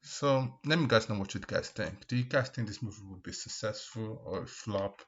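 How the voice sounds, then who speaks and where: A man giving casual opinion commentary as a video host, speaking in an emphatic, repetitive way with halting restarts. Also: on the low side, a bit bright, slightly rough. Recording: dull, lo-fi microphone sound.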